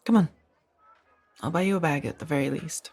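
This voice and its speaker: A woman acting in a soft-spoken ASMR roleplay as a kind, conflicted former warrior monk turned farmer, hesitant and earnest. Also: low voice, a little fast, breathy edge. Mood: warm, bashful.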